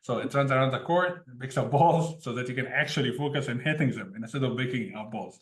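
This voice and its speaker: A man's voice: mid-range voice, fairly quick, a bit bright. A man from Egypt, a tech founder telling his story as an interview guest in an easygoing way, often laughing between sentences. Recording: roomy echo.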